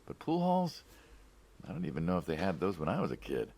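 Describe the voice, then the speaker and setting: Casting: city mob hitman in a narrative game, teasing and conversational, deep creaky voice with lively swoops. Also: a little fast, heavily acted. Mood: wry, teasing. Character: wry city mobster hitman, reluctant friend to farmer. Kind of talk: naturalistic dramatic game dialogue.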